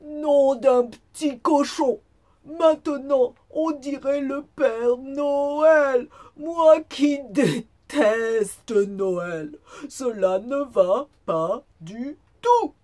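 A woman voicing a big, grumpy, vain male wolf from a children's storybook, with loud, exclamatory, theatrical complaining. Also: sits high, very slow, voice pushed up. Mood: disgusted, indignant.

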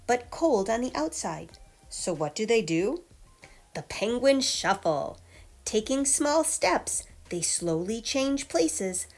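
A woman reading a children's picture book aloud like a teacher, with emphatic storytelling delivery. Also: a little high. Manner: clear storytelling delivery with emphatic questions and answers.

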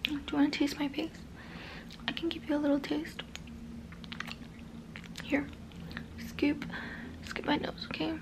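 A woman in her twenties doing a whispered ASMR roleplay, breathy and very close to the mic, softly repeating words. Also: high voice, flat delivery, low-quality recording. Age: 20s. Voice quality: breathy, mostly unvoiced whisper. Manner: soft, halting, repeats words slowly. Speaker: ASMR creator. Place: small bedroom, indoors. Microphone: very close to the microphone.

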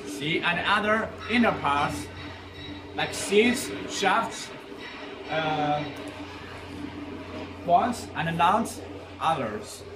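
A man's voice: on the high side, expressive, extremely slow, long pauses, noisy background. A young man who is not an English speaker, guiding a tour of a factory warehouse in English, hesitant and full of "uh" fillers and restarts. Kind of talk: walking factory tour presentation. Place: large indoor factory warehouse.

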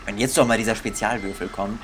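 A man's voice: fairly low pitch, steady tone, fast, music in the background, poor audio. A young man giving casual gaming commentary in a grumbling, comically exasperated manner. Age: young adult. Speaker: let's player.

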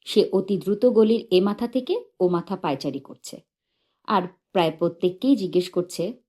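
A woman narrating a humorous short story in an expressive, measured and dramatic storytelling manner. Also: mid-range voice. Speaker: audiobook narrator.

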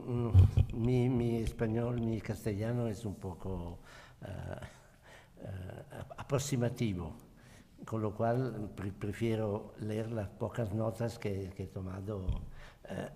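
Older Italian-accented lecturer, slow, mellow, reads reflectively; table mic, hall reverb. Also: male voice, lowish voice, steady tone, slight background noise. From Italy. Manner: slow, measured, reflective reading. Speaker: guest speaker. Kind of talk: lecture read from notes. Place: conference hall at a panel table. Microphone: table microphone.

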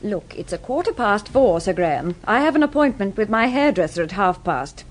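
A woman's voice: mid-range voice, sing-song, normal speed. An actress playing a cool, haughty woman under questioning in a radio drama, clipped and dismissive. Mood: scornful. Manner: clipped, dismissive, self-assured.

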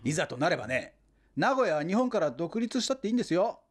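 An actor playing a pushy, fanatical hometown booster who lectures insistently and grandiosely in a comic radio drama. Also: male voice, sits high, big pitch swings, fast. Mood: grandly boastful. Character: pushy, fanatical Nagoya booster, indignant salesman-like man.

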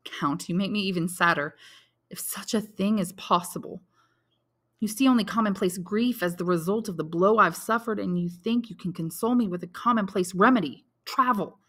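A woman's voice: medium pitch, normal speed, fairly bright tone, voice pushed up, natural voice. A woman reading aloud and voicing a lovesick young male soldier in despair, speaking with raised, impassioned pleading. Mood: anguished.

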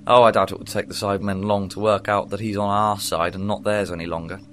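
A man's voice: lowish voice, little pitch movement, fast, a bit bright, slight background noise, lightly acted. A man playing a weary, wry time-traveling Doctor with dry, understated humor. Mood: resigned. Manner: dry, gloomy, understated wit. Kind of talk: fan audio drama acting.

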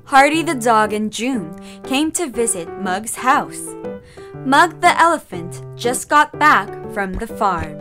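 A woman's voice: on the high side, big pitch swings, slow. A woman reading scripted narration for a children's puppet show. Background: children's music.

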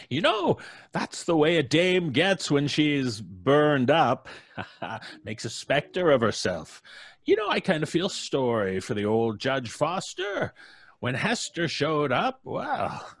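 Lively ghoulish radio horror host. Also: male voice, a little high, heavily acted. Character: ghoulish, wisecracking male horror host, gleeful punster. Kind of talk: old-time radio horror host narration.

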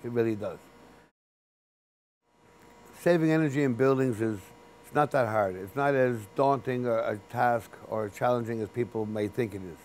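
A man in his fifties, a building's chief engineer, explaining his work in a sit-down interview in a basement boiler room, practical and unhurried. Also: mid-range voice, a little mellow, a little hiss or hum. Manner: plain-spoken, practical, unhurried with restarts. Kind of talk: interview for a training video.

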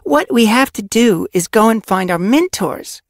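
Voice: mid-range voice, expressive, moderate pace, dry recording, pitched above the performer's own voice. A narrator voicing a sensible young female cat apprentice with a dry, scolding manner in an animal storybook. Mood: stern, angry.

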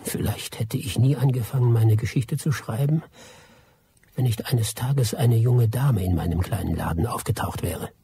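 An actor in a radio play playing a world-weary, sceptical middle-aged expatriate trader, measured and dry, with a husky voice. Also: male voice, mid-range voice, warm tone, slight background noise, lightly acted. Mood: bitter, resolute. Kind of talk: radio play narration and dialogue.

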